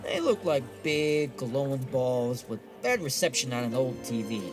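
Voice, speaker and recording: A man's voice: mid-range voice, lively intonation, measured pace, over music, lightly acted. An actor playing an irritable, wisecracking male psychic who talks in old-time streetwise slang in an audio drama. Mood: wry, coaxing. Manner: slangy old-time wise guy phrasing.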